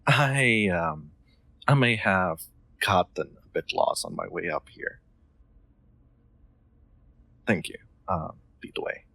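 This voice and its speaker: Male actor as soft-mannered aristocrat for audio drama: low, slow, gravelly edge, awkwardly polite, formal phrasing. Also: expressive, no room sound, full character voice. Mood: flustered, polite. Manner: hesitant, polite, formal wording with stammered ums. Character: gentle, awkward young lord, courteous automaton owner. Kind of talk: scripted audio roleplay, intimate one-sided dialogue.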